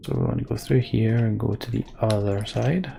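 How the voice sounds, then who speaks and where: A man's voice: mid-range voice, steady tone, moderate pace, gravelly, mellow, a little hiss or hum. A man doing casual live commentary on a video game, rambling and thinking aloud as he plays. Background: game music, keyboard typing.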